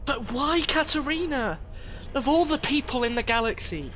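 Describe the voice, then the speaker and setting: Woman playing worried, loyal higher-voiced sister. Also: expressive, moderate pace, fairly bright tone, slight background noise. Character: worried, loyal sister searching for her captive sibling. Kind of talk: audio drama acting.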